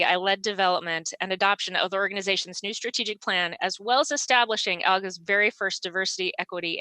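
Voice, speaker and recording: Bright-voiced woman giving a formal report. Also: mid-range voice, dry recording, rough recording. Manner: earnest, steady report with occasional fillers. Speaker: auditor. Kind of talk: formal self-introduction at a public meeting.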